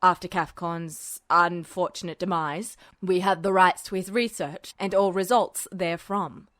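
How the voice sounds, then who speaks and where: A gruff, gravelly major general with a menacing edge, read by a woman in a fan audiobook. His voice sits low and he talks in curt, commanding sentences. The recording is dry and close. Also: steady tone, measured pace, a bit bright, dry recording. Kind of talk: podfic reading of fan fiction.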